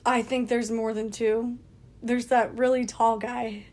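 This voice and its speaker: A woman's voice: fairly high pitch, little pitch movement, a little slow, a little mellow. A young woman with a scratchy voice, co-hosting a casual podcast and laughing often. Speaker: podcast co-host. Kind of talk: casual chat. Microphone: leaning close to a phone.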